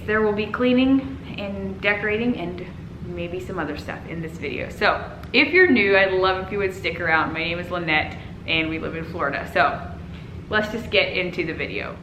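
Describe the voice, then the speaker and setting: A woman's voice: medium pitch, a little hiss or hum, rough recording. Chatty woman, native Pennsylvania Dutch speaker. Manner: chatty, friendly, often laughs softly, mixes dialect and English. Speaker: vlogger, mother. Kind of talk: casual voiceover over cleaning footage. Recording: voiceover over clear background music.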